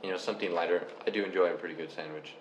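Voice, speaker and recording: Young canadian man, very deep voice, rambling relaxed chat, echoey room with faint hiss. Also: racing. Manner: relaxed, rambling, explains step by step. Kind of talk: casual chat.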